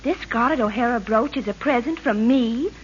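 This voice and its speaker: An actress plays a pleased, confiding woman in an old radio commercial. Her voice sits fairly high. The recording is dull and faintly hissy. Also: moderate pace, lightly acted. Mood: confiding, selling. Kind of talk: 1940s radio commercial acting.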